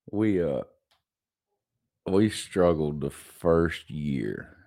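A man's voice: deep voice, lively intonation, very slow, gravelly, a little mellow, no echo, clean studio sound. A man hosting his own podcast, stuffed up with allergies, talking casually and rambling, often repeating himself. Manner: rambling, repeats himself, self-deprecating laughs. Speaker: podcast host. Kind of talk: casual solo podcast monologue.

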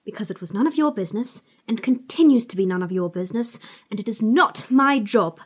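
A woman's voice: a little high, lively intonation, fairly quick, slightly rough. A woman playing a prickly, scornful woman doctor in an audio drama, speaking in a clipped, formal way. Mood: sharp, irritated.

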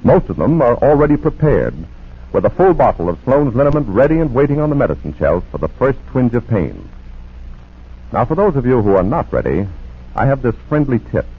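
A man's voice: medium pitch, sing-song, brisk, husky. A man announcing a commercial in 1940s radio style, with a polished, persuasive salesman's delivery. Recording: muffled, narrow-band vintage radio recording.

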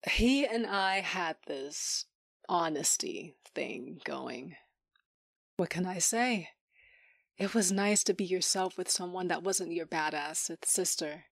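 Low-voiced, wry, tough young woman. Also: slow pace, gravelly, no room sound, clean studio sound, full character voice. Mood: intimate, wry. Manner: sardonic, intimate, sometimes dropping to a whisper. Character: tough, wry, sensual young woman fighter narrating her story. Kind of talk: first-person audio drama narration.

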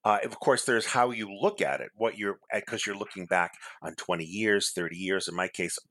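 A middle-aged man from Massachusetts, hosting a conversational interview about writing, reflective and quick to laugh, often repeating his phrases. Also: on the low side, fairly bright tone, dry recording. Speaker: host, memoir author.

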